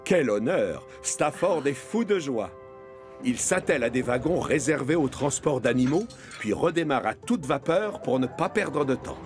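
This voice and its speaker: Animated male children's cartoon narrator. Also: mid-range voice, measured pace, over music.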